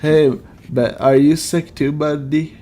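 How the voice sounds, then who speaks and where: A man's voice: on the high side, steady tone, takes it slowly, warm tone, a little hiss or hum. A man hosting a podcast, rambling and self-deprecating in casual chat. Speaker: podcast host.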